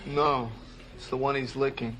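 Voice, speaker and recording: A man's voice: medium pitch, level tone, normal speed, a bit bright, soft background music, natural voice. An actor playing a sarcastic, uncomfortable young man in a sitcom clip. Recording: sitcom clip, audience laughter, noisy.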